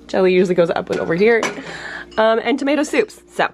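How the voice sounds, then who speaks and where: A woman in her thirties chatting casually as she cleans her home on camera, self-deprecating and quick to laugh. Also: medium pitch, animated, a little hiss or hum. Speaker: lifestyle vlogger. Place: kitchen and entryway of a house, indoors.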